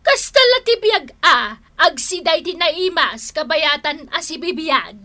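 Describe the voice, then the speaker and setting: A woman's voice: very high-pitched, sing-song, measured pace, pushed and bright, exaggerated voice. A woman playing a chatty, teasing hostess in an Ilocano radio drama, melodramatic, with a northern Philippine accent. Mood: wailing.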